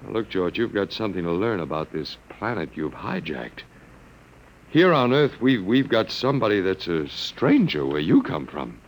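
A man's voice: low-pitched, big pitch swings, normal speed, heavily acted, rough recording. A man playing a sardonic, resigned captive professor in a classic radio drama, musing and hesitating as he talks. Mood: grimly explaining. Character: wry, resigned male anthropology professor held captive by aliens.

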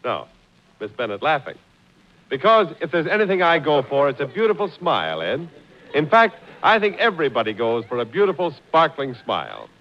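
A man working as a radio announcer, delivering a scripted 1940s advertising pitch. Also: huge pitch swings, moderate pace. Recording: muffled 1940s radio broadcast.

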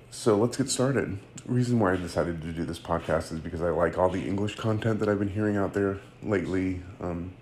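A man's voice: low-pitched, a little hiss or hum. A man, hosting a casual solo sports podcast and rambling with fillers and self-corrections. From United States. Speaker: podcast host.

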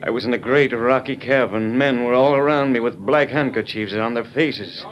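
An actor playing an earnest army major undercover as a gunfighter, telling his story gravely in an old-time radio drama. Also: medium pitch, normal speed, muffled recording, natural voice. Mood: grave, weary. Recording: noisy vintage radio recording.

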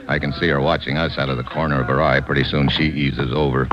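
Very deep hard-boiled American fugitive. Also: male voice, little pitch movement, fast talker. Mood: tense narration. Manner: clipped, slangy, hard-boiled. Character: tough, wisecracking American man on the run. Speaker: narrator and lead actor. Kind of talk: 1950s radio adventure acting, hard-boiled narration. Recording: noisy, muffled old radio recording.

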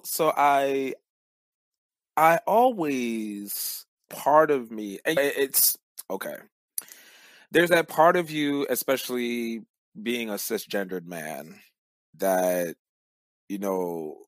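A man's voice: medium pitch, a bit bright, no echo. A man from Cameroon speaking as a podcast guest in casual, reflective talk, with slangy, emphatic storytelling.

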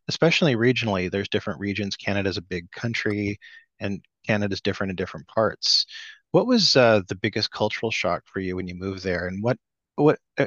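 A man's voice: on the low side, dry recording. A man hosting a podcast, asking long, winding interview questions and correcting himself along the way. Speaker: podcast host. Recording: slightly dull, muffled audio.

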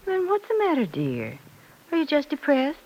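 Concerned radio-soap mother with lilting pitch. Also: huge pitch swings, moderate pace, a little mellow, exaggerated voice, rough recording. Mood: concerned, probing. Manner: coaxing, questioning, tender endearments. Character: concerned, gently probing middle-aged mother, patient and affectionate. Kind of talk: mid-century radio soap acting.

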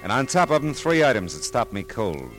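An actor playing a wry, world-weary private detective who narrates and talks in a deadpan radio drama style. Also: male voice, medium pitch, brisk, soft background music, lightly acted, poor audio. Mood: brooding narration. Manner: deadpan, hesitant asides, dry wit.

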